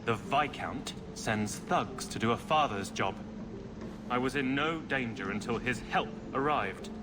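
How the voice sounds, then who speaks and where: A man's voice: mid-range voice, measured pace, natural voice. An actor playing an idealistic, defiant young nobleman in a fantasy video game, with earnest, formal phrasing. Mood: bitter, weary. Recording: loud ambient noise behind.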